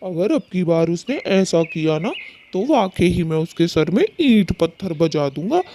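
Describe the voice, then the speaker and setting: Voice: mid-range voice, big pitch swings, measured pace, mellow, a little hiss or hum. A performer voicing a male cartoon bird with a theatrical, complaining and pleading way of talking. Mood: aggrieved. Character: dramatic male cartoon bird, husband or neighbour, plaintive. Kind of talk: Hindi children's cartoon story dialogue.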